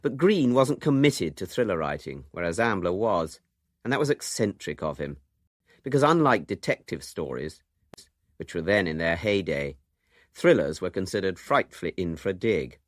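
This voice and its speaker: A man's voice: low-pitched, big pitch swings, moderate pace, no room sound, clean studio sound. A British man presenting a scripted radio documentary in a wry, urbane way.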